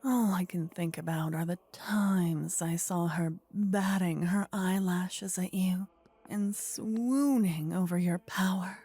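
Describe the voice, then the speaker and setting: A woman playing a possessive, warlike demon queen in an intimate ASMR roleplay, speaking in a breathy whisper with measured, formal, regal phrasing. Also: on the low side, dark tone, exaggerated voice. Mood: brooding, vengeful.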